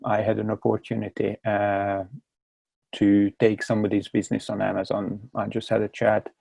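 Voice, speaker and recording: A low, gravelly man's voice with a strong Hungarian accent, almost monotone as he explains himself in his second language. He stalls and repeats words mid-sentence, and the mellow, dark tone sits in a dry, close space. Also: no echo. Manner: halting, repeats words while searching for them. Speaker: guest. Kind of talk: casual interview talk.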